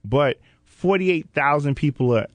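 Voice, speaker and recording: A man's voice: on the high side, expressive, a little slow. A man from Haiti hosting a casual interview, informal and affirming, often echoing what his guest says. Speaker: podcast host.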